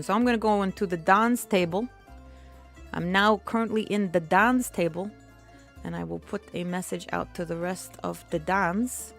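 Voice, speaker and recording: A woman's voice: mid-range voice, close to an everyday voice. A woman streamer voicing an exuberant mafia don woman, rambling playfully in live role-play chat. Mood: chatty, playful. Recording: music playing behind the voice. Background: music.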